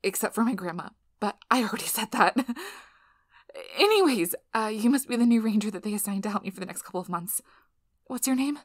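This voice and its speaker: A woman playing a nervous young female PhD researcher in an ASMR role-play, flustered, halting and apologetic, with breathy whispered asides. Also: on the high side, lively intonation, no echo, studio quality. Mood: awkward, eager.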